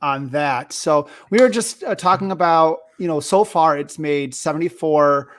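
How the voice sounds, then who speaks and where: A man, likely middle-aged, hosting a casual movie discussion, talking haltingly and often rephrasing himself. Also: a little high. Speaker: host of a movie review show.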